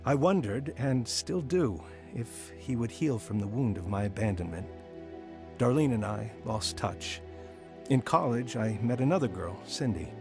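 A man's voice: medium pitch, close to an everyday voice. An actor playing a reflective, remorseful man who narrates his own youth in a radio drama. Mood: sorrowful, regretful. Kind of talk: scripted first-person drama narration. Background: music.

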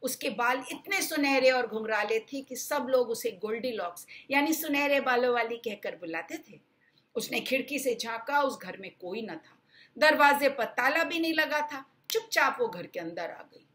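A woman in her sixties narrates for small children. Her voice sits fairly high and swings widely in pitch. She stresses words dramatically and talks straight to her young listeners. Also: normal speed. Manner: animated, dramatic emphasis, addresses children directly. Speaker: children's storyteller, channel host. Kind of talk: expressive bedtime storytelling for children.

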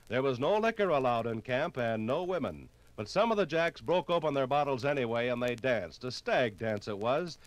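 A man narrating a scripted 1950s radio documentary in a measured, dramatic storytelling manner. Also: medium pitch, low-quality recording.

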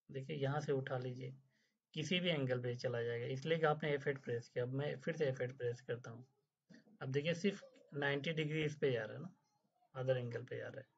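Male instructor, husky mid-pitched voice, patient step-by-step tutorial delivery, slightly dull lo-fi voice-over. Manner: explains step by step, often checks in with "theek hai?"; mixes English phrases into Hindi. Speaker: drafting instructor. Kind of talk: screen-recorded software tutorial. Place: voice-over over screen capture.

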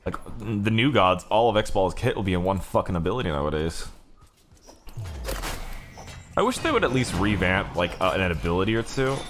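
A man streaming a video game with casual, profane commentary, excitable and sarcastic in manner. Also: sits low, normal speed, pauses often, a little hiss or hum.

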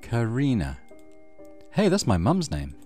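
A man's voice: medium pitch, huge pitch swings, a little slow, warm tone, faint music behind. A man hosting a let's play, reading story narration aloud with casual commentary.

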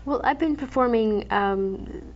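A woman's voice: on the high side, unhurried. Woman from Croatia, dull lo-fi TV sound. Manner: thoughtful, with frequent ums and restarts. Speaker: classical guitarist, guest. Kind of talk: interview conversation. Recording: dull lo-fi TV recording.

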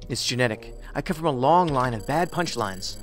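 A wry male federal agent, acted by a man in a science fiction audio drama. His voice sits in a middle range and his delivery is deadpan and clipped, over prominent music. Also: medium pitch, moderate pace, natural voice.

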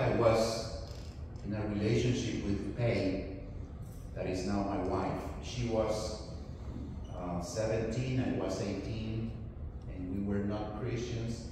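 A man's voice: lowish voice, darkish tone. A Salvadoran missionary in his forties with a Spanish accent, telling his testimony in a church hall on a handheld mic. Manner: earnest, slow storytelling with light laughs. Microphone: handheld microphone picked up from afar. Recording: echoey hall, distant camera, noise.

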